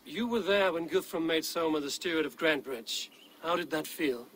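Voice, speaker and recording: A man's voice: on the high side, moderate pace, fairly bright tone, a little hiss or hum, close to an everyday voice, low-quality recording. A man playing a stoic Viking warrior leader in a video game, asking and answering in a terse, serious way. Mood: probing. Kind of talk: video game character acting.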